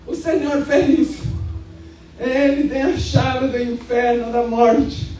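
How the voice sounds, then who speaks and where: A woman in her fifties with a Brazilian accent preaching as a pastor, moving into colloquial, animated and emphatic personal storytelling. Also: high voice, slow pace. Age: 50s. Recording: echoey hall, distant sound, music underneath.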